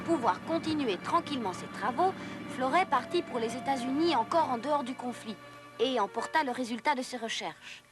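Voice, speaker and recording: A woman's voice: high-pitched, expressive, brisk, over music. A woman narrator delivering scripted educational cartoon narration in a storytelling manner.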